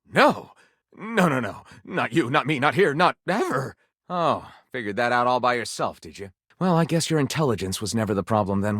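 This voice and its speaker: A man's voice: medium pitch, fairly bright tone, no room sound, clean studio sound. A man voicing a theatrical, bookish living-library character in a video game, effusive and florid in diction. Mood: guarded, curt. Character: flamboyant, theatrical bookish living library, eager and easily wounded.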